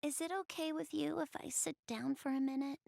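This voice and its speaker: High-pitched, bashful anime goddess girl. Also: steady tone, vocal fry, warm tone, no room sound, studio quality. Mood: weary, soft. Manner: hesitant, bashful, halting. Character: shy, flustered young goddess girl, soft-spoken, easily embarrassed. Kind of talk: anime video game voice acting, addressing the player.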